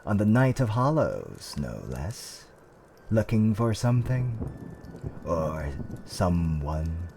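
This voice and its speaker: A man playing a gentle, courteous tavern keeper in a fantasy roleplay, with soothing, old-fashioned politeness and theatrical hums and pauses. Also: sits low, big pitch swings, gravelly, noisy background, exaggerated voice. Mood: welcoming, musing. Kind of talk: roleplay ASMR character acting.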